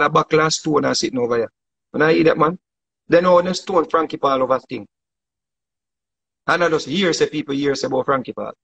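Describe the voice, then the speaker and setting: A man's voice: high voice, vocal fry, fairly bright tone, lo-fi sound. A man in his forties with a Jamaican accent, ranting fiercely on a phone livestream from his living room, slipping into patois. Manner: fiery, preachy, lapsing into patois. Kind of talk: animated phone livestream rant. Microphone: phone held close.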